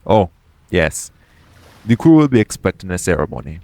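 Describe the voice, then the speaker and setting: A man playing a shady, smooth-talking pirate captain in an audio roleplay, coaxing and self-assured in a conversational way. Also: sits low, big pitch swings, moderate pace, dark tone, no echo, full character voice. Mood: reassuring. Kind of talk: second-person audio roleplay acting.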